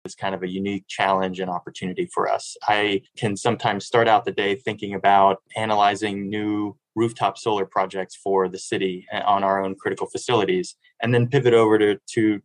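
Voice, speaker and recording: A man's voice: fairly low pitch, no echo, low-quality recording. A man in his thirties from Miami, a city sustainability director answering interview questions in an earnest, fluent way with small restarts. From Miami, Florida.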